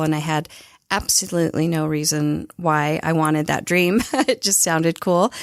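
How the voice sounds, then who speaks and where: A woman's voice: sits low, vocal fry, no echo, clean studio sound. A woman who grew up in Saudi Arabia, a bakery owner answering interview questions in a friendly, earnest way.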